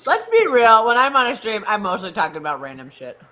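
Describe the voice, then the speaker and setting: A woman from the United States co-hosting a live football stream, chatty and quick to laugh. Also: medium pitch, huge pitch swings, crowd noise. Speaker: co-host of a live football watch-along stream. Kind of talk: casual chat.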